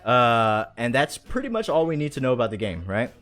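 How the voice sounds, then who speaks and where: A man's voice: medium pitch, very animated, fairly quick, a bit bright. A man hosting a casual livestream chat in an enthusiastic, rambling, slangy way. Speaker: show host. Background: faint music.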